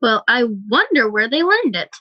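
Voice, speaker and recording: A woman's voice: fairly high pitch, big pitch swings, moderate pace, muffled recording. A woman playing a polite, indulgent suburban mother in a radio play. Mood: friendly, chatty.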